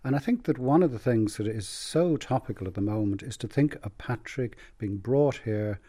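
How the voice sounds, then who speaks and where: A man with a Dublin Irish accent, an archbishop speaking in an earnest, measured way in a conversational joint address. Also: mid-range voice, dark tone, husky. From Ireland.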